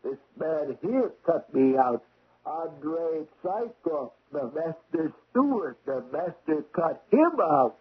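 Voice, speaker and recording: Slow, raspy drunken valet. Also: male voice, fairly high pitch, very animated, exaggerated voice. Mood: defensive. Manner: halting, befuddled. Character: sullen, drunken male valet under questioning. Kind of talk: 1970s radio drama acting. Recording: dull vintage radio broadcast.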